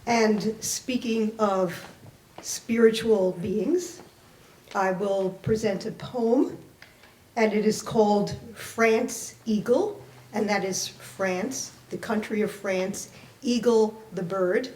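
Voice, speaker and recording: A woman poet presenting and reading their work aloud to a live library audience, with careful, deliberate delivery. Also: mid-range voice, darkish tone, slight background noise. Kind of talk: dramatic poetry reading with spoken introduction. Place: indoor library reading event. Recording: roomy, echoing live event recording.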